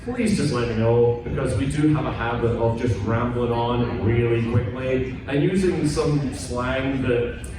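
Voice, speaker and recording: A man's voice: mid-range voice, a little slow. Rambling Scottish man in an echoey hall. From Scotland. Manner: rambling, chatty and self-deprecating. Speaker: author on a convention panel. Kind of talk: casual panel talk. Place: convention hall. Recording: echoey hall, distant mic, noisy.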